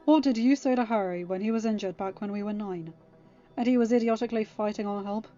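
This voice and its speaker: A woman voicing a loyal, caring teenage girl co-captain who talks softly but insistently in a story. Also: medium pitch, quick pace, lo-fi sound, soft background music, close to an everyday voice. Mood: gently insistent. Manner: soft, gently insistent.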